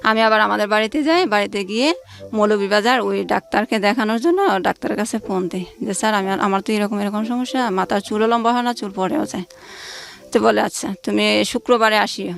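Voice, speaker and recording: A woman's voice: mid-range voice, quick pace, vocal fry. A woman, telling her life story informally as an interview guest and acting out conversations as she goes. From Bangladesh. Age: late 20s. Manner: recounts events through reported dialogue. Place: radio studio.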